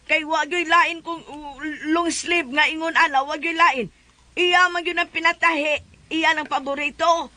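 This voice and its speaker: A woman's voice: high-pitched, fairly even intonation, a little slow, bright, forceful tone, no room sound. A woman playing a plaintive, insistent widow in a comedy drama, with a Cebuano Filipino accent. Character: plaintive, insistent widow demanding back her donation. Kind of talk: comedic radio drama acting.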